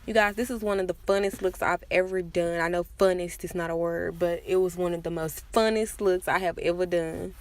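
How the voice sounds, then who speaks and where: A woman's voice: fairly low pitch, steady tone, fairly quick, hardly any pauses. A woman giving a casual, chatty makeup tutorial voice-over with self-deprecating asides. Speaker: makeup vlogger.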